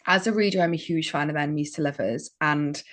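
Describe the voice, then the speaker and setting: A Welsh woman in her thirties, an author chatting warmly as an interview guest, with frequent fillers and small laughs. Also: deep voice, fast talker. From Wales. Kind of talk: casual interview chat.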